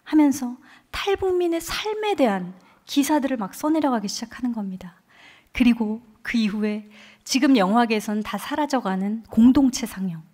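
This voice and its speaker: A woman in her forties giving a heartfelt testimony as a guest speaker at a church revival, telling her story with earnest conviction into a microphone. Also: on the high side. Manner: earnest storytelling, quoting others with lively emotion. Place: church sanctuary.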